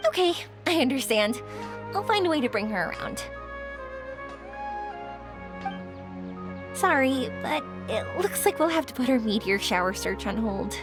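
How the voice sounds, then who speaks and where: Game role: eager, helpful young heroine played by a woman, very high and lively, music underneath. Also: moderate pace, close to an everyday voice. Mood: determined, reassuring. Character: energetic, earnest, helpful young woman leading a search. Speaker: video game character voice. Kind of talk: scripted game dialogue. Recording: music behind the voice.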